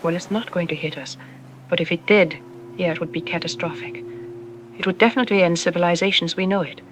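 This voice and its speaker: A woman playing a calm, reassuring scientist who explains things in a measured way, as an expert guest in an audio drama. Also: on the low side, noisy background, close to an everyday voice.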